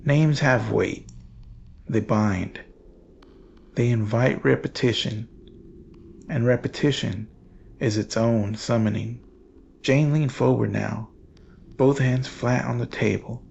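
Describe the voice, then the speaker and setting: A man reading scripted horror narration in a grave, measured, ominous manner. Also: medium pitch, vocal fry, a little mellow, muffled recording, slight background noise.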